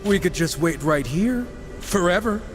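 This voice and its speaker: A wisecracking horseman, kinda high lively voice, snarky n irreverent, game cutscene. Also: unhurried, soft background music. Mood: sarcastic. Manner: sarcastic, irreverent, casual. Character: wisecracking, cocky supernatural horseman gunslinger. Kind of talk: video game cutscene acting.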